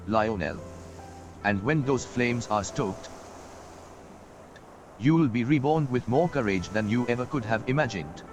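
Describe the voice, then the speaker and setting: A man playing a gruff, folksy old mentor. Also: medium pitch, close to an everyday voice. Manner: folksy, wry, encouraging. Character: older male mentor, gruff and encouraging. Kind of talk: game voice acting. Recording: studio voice with game music behind.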